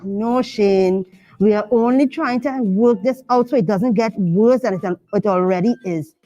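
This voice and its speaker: A woman's voice: mid-range voice, a little slow, dark tone, lightly acted. A woman voicing a young schoolboy puppet who earnestly pleads with his friend. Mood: pleading. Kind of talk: puppet show acting. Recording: dull lo-fi sound with faint music.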